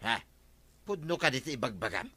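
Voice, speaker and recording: An actor playing a cocky, evasive village husband with an Ilocano accent in a radio comedy drama. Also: male voice, mid-range voice, very animated, a little fast, pushed and bright, slightly rough, heavily acted. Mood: pleased. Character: cocky, evasive village husband who dodges farm work.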